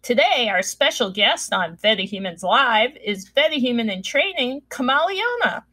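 A woman's voice: fairly high pitch, big pitch swings, normal speed, bright, forceful tone, breathy edge. A woman hosting an interview, reading questions in an enthusiastic, upbeat way. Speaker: host.

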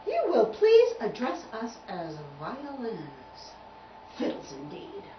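A woman's voice: on the high side, huge pitch swings, slow pace, roomy sound, far from the mic, muffled recording, noisy background, heavily acted. Woman as haughty, indignant talking violins. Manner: indignant, snooty. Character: haughty, snappish, mocking orchestra violins.

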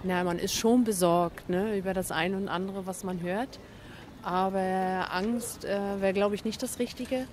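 A woman's voice: mid-range voice, steady tone, measured pace, darkish tone. Middle-aged woman, thoughtful street answer, faint background noise. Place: town square outdoors. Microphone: interviewer's handheld mic.

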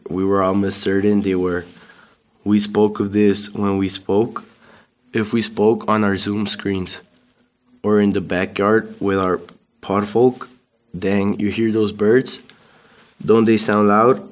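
A man with a low voice reads poetry aloud. His delivery has little melodic movement, like a student giving a plain recital. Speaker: student reading a poem aloud.

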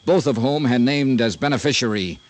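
An actor playing a tough, dogged investigator in a 1950s radio drama, terse and wry, in hardboiled style. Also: male voice, medium pitch, a little fast, close to an everyday voice. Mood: brooding. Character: Johnny Dollar, tough, dogged male investigator. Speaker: lead actor and narrator. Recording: noisy old radio drama recording.

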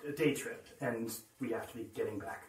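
A man playing a polite, tentative podcast maker in an audio drama, speaking haltingly. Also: mid-range voice, natural voice. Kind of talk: naturalistic audio drama acting. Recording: roomy, distant from the mic.

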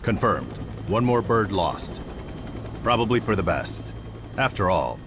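A man playing a cold, detached agent with a dry, deliberate delivery in a video game. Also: lowish voice, sing-song, very noisy. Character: cold, unhurried, detached male agent in dark suit.

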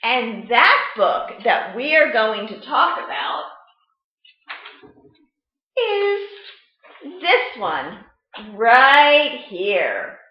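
A woman in her thirties hosting a children's storytime, with an expressive, sing-song and deliberate teaching delivery, recorded indoors on a webcam mic. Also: fairly high pitch. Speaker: children's librarian storytime host. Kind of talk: children's storytime read-aloud. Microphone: webcam or laptop mic at arm's length. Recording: roomy, slightly dull webcam sound.

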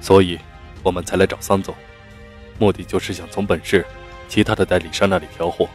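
A man playing an earnest, deferential company manager in an audiobook, speaking in a measured, businesslike way. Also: low-pitched, steady tone, soft background music, natural voice. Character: earnest, deferential male manager reporting to his boss. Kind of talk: audiobook character acting.

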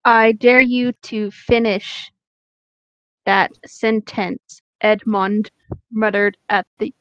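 A woman's voice: medium pitch, fairly even intonation, takes it slowly, a bit bright, no room sound, muffled recording. A woman reading fanfiction aloud casually and comedically, chatting with friends. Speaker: co-host of a group fanfiction reading.

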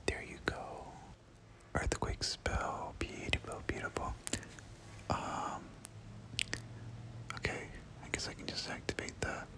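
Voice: takes it slowly, long pauses, fairly bright tone. A person whispering casual, slangy ASMR gameplay commentary, muttering reactions and repeating short phrases. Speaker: gaming video creator.

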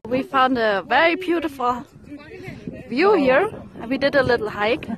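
A woman chatting excitedly with friends on a road trip outdoors. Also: high-pitched, normal speed, over music. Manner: excited, exclaiming. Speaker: travel companion. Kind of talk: casual travel chat. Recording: noisy outdoor camera audio.

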